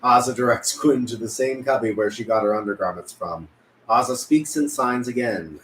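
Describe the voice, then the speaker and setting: A man's voice: mid-range voice, normal speed, fairly bright tone. A man narrating stage directions at a script table read. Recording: echoey room, faint noise.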